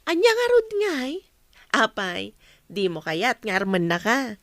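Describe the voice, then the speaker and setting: Chatty village mother, actress, slightly high voice, expressive conversational delivery, dry close recording. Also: slow, no echo, exaggerated voice. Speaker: actor in an audio drama.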